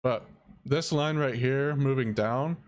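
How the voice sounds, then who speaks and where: Young male streamer, quiet low-key delivery, drawn-out pace. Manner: soft-spoken, halting, reads chat aloud. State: dry mouth. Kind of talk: casual live-stream tutorial.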